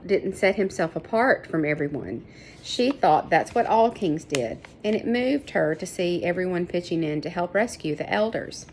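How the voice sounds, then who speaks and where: A woman's voice: fairly low pitch, slight background noise. A woman reading a children's story aloud, stumbling over names and correcting herself. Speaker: reader of a children's book. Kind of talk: read-aloud story narration.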